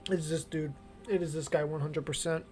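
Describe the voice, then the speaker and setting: A man's voice: fairly high pitch, level tone, normal speed, over music. A man giving casual, slangy, irreverent commentary while he plays a video game. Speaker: game streamer. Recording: noisy background.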